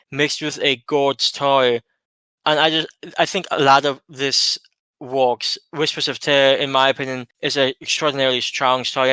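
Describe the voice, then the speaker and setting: A man's voice: mid-range voice, sharp tone, clear, resonant tone, no echo, low-quality recording. Easygoing, opinionated male co-host. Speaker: podcast co-host. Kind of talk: casual chat.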